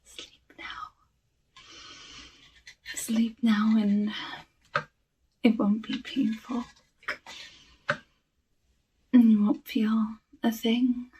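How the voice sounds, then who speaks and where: Woman as sinister forest witch for ASMR roleplay: high-pitched, extremely slow, hushed and savoring, coolly menacing. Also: flat delivery, recorded from a distance, heavily acted, low-quality recording. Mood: soothing menace. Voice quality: breathy. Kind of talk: ASMR roleplay, soft talking.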